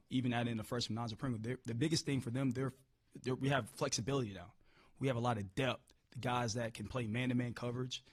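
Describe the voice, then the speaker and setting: A hoarse-voiced man coaching football, answering press questions upbeat, often repeating words as he restarts. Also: medium pitch, no room sound. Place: media room.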